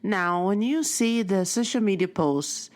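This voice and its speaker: A woman's voice: mid-range voice, expressive, a little slow. A woman whose first language is Portuguese, hosting her own podcast in a chatty, storytelling monologue with frequent self-corrections. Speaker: podcast host. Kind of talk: casual motivational monologue.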